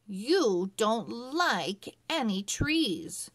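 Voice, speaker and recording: A woman's voice: fairly high pitch, big pitch swings, drawn-out words, voice pushed up, exaggerated voice. A woman voicing a curious young boy in a Christmas storybook, with emphatic, careful delivery. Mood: challenging.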